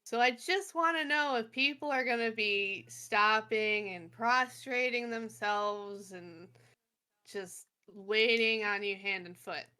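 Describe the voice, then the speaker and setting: A woman's voice: fairly high pitch, pushed and bright, smooth. A woman playing a tabletop role-playing game who jokes playfully while she talks in character. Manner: playful, joking, unhurried. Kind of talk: in-character casual chat.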